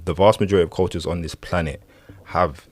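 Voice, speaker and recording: A man's voice: deep voice, fairly even intonation, fast talker, no room sound. A man from Uganda co-hosting a discussion, emphatic and often checking in with "right?". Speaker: podcast co-host.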